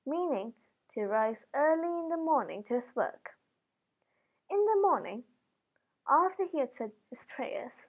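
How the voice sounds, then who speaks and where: A woman reading a fairy tale aloud as scripted narration, with expressive, dramatic emphasis. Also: high-pitched, rough recording. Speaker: storybook reader.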